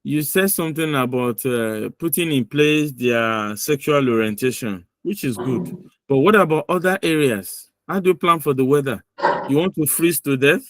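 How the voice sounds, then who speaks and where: A man's voice: medium pitch, moderate pace, no room sound. A man running a mock visa interview on a video call, giving firm, lecturing feedback and repeating his points for emphasis.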